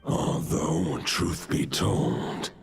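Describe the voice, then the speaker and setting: An ancient wolf spirit speaks in a deep voice full of gravel. He is a solemn guardian king in a video game, unhurried and archaic in his phrasing. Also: loud music behind the voice, heavily acted. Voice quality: deep, gravelly, breathy growl. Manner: slow, archaic, grave diction. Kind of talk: video game character acting.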